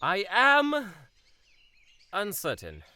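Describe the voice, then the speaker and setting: A man's voice: high-pitched, huge pitch swings, drawn-out words, bright, forceful tone, dry recording, exaggerated voice. A man playing a pompous, oblivious young prince in an audio drama, speaking in flowery, old-fashioned formal phrases. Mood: thoughtful.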